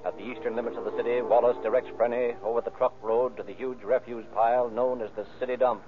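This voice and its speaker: A man's voice: mid-range voice, flat delivery, fast talker, a little hiss or hum, close to an everyday voice. A man performing as the dramatic narrator of an old-time radio crime tale, reading scripted narration. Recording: tinny, band-limited old radio recording. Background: loud music under the voice.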